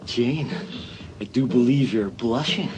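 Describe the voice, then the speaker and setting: A man's voice: medium pitch, noisy background, natural voice. An actor playing a sardonic, teasing teenage boy in an audio horror drama. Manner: teasing, drawn-out delivery. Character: sardonic teenage boy costumed as Mister Death. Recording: loud music behind.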